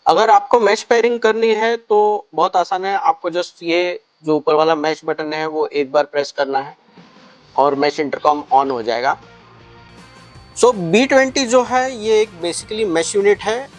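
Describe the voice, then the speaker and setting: A man gives a casual step-by-step product tutorial, explaining things and mixing in English phrases. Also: high voice. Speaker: product reviewer and shop presenter.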